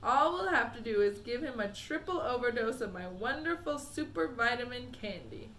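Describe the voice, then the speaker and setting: A woman voicing a cheery, eccentric male candy maker who talks in a brisk, breezy, confident way. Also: on the high side, animated, fairly bright tone, slight background noise, low-quality recording. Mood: offhand.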